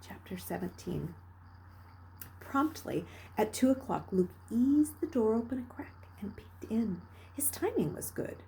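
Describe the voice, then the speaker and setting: A woman reading a children's novel aloud with expressive, dramatic narration, moving between hushed passages and emphatic bursts. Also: a little high, measured pace, vocal fry, slight background noise, poor audio. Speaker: read-aloud storyteller.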